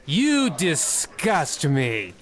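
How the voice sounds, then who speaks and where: A man's voice: on the high side, huge pitch swings, drawn-out words, fairly bright tone, heavily acted. A man playing a sardonic, dismissive postal clerk in an old-time radio comedy style. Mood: mocking. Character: sardonic, dismissive male postal clerk who joins the mockery.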